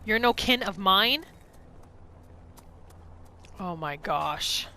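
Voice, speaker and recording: A woman's voice: mid-range voice, expressive, extremely slow, pushed and bright. A woman doing roleplay gameplay commentary, narrating her character's thoughts and reacting to the game. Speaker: gaming video creator, roleplayer. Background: faint music.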